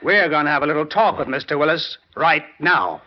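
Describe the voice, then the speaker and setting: A man's voice: on the high side, lively intonation, a little fast, loud music behind the voice, close to an everyday voice. A man playing a brash, persistent newspaperman in a 1940s radio crime drama, punchy and terse, on a dull old recording. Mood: probing.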